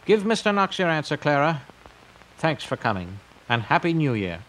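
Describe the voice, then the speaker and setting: A man playing a grandiose, eccentric tycoon pitching his pleasure empire in a declamatory audio drama monologue. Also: sing-song, normal speed, fairly bright tone, low-quality recording. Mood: commanding, courting.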